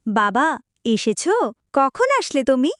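A woman plays a stubborn little village girl in a cartoon, high-voiced and pleading. The sound is very dry. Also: animated, a little slow, no echo. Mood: pleading, sulky. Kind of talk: cartoon voice acting.

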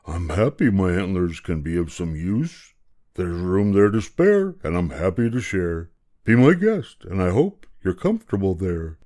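A man's voice: deep voice, very animated, unhurried, warm tone. A man voicing a big-hearted, put-upon moose in a children's storybook, polite and meek. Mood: happy, generous.

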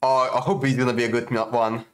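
A man's voice: medium pitch, little pitch movement, quick pace. A man in his twenties giving casual, slangy, exclamation-filled reactions as a video host, recorded close up in a room at home. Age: 20s. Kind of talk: casual excited reaction commentary. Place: home gaming room, indoors.

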